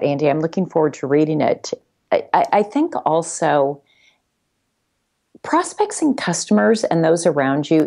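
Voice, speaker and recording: Low-voiced woman in casual conversation. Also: a little mellow. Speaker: regular podcast guest.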